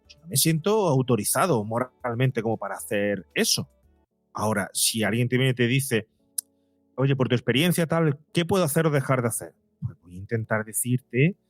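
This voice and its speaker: Middle aged guy being interviewed, earnest n emphatic, mid pitch, dry sound, bit of music. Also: dry recording. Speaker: interviewed guest, psychologist. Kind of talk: conversational interview explanation.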